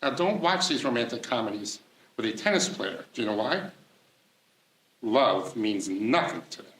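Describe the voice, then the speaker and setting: A man introducing a comedy playhouse with jokey, deadpan punchlines. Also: mid-range voice, moderate pace, roomy sound, low-quality recording. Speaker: host. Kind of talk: comic stage introduction.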